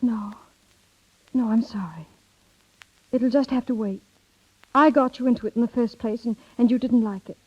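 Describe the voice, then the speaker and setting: High-voiced, earnest young wife, old radio. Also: female voice, slightly rough. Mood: wistful, resolute. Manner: hesitant pauses, earnest. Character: independent, wistful young wife, tender but firm. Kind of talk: 1930s radio acting. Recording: muffled 1930s radio broadcast recording.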